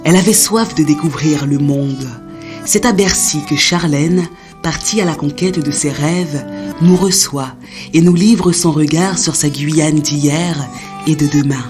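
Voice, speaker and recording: A woman's voice: low-pitched, a little slow. A woman narrator reading a scripted, poetic podcast introduction. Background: music.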